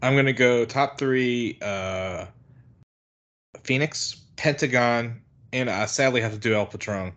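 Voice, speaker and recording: A man at a mid pitch chats as a podcast guest. He is blunt and a bit exasperated and swears freely. He keeps it loose and conversational. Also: measured pace, a bit bright. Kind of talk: casual podcast chat.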